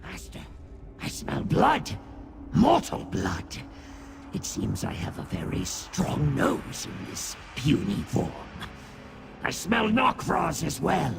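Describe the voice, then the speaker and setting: A small, sly rat creature from a fantasy game, speaks high and slowly with a heavy croak. It is nasal and vengeful, drawing out phrases theatrically while fawning on its master. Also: male voice, wildly swooping pitch, background noise, heavily acted. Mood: sly, snide. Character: small sly male Daedrat creature, servile, snide, vengeful. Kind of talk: fantasy video game character acting.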